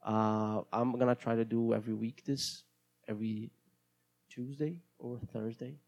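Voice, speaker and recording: Gravelly-voiced Brazilian man rambling casually. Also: medium pitch, fairly even intonation, measured pace, darkish tone, dull audio. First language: Portuguese. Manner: hesitant, rambling, many restarts. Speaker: podcast host. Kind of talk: casual solo podcast monologue.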